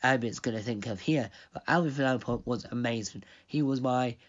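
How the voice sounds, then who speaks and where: A man's voice: medium pitch, normal speed, dull audio. A young man who owns a radio station, rambling through a pre-recorded intro while losing his voice. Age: young adult. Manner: rambling, digressive.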